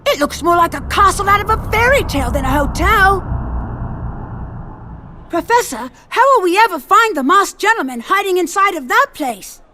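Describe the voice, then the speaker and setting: Very high, bright, excitable young apprentice. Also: female voice, normal speed, a little hiss or hum, full character voice. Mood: amazed, eager. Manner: eager, animated. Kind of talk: animated game cutscene acting.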